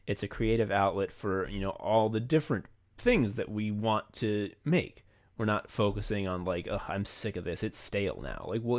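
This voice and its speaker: A man co-hosting a podcast in a casual, chatty style, swearing freely. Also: lowish voice. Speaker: podcast co-host. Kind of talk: casual behind-the-scenes podcast chat.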